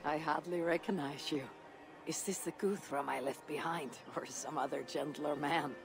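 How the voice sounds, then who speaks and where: Game cast: actor playing a weary Viking wanderer, clipped and dry, low-key delivery. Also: fairly even intonation, normal speed, vocal fry, music in the background, natural voice. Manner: dry, terse, quiet. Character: weary Viking warrior bidding farewell. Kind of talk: video game cutscene acting.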